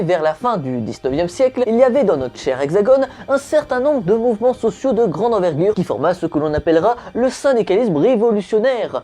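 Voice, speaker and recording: A woman's voice: mid-range voice, warm tone. A woman hosting a comic history explainer, casual and slangy with jokes. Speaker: host.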